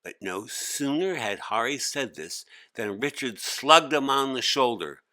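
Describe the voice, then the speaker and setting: A man's voice: medium pitch, lively intonation, unhurried, bright, forceful tone, no room sound. A man narrating a children's story as a scripted read-aloud. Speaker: author-narrator.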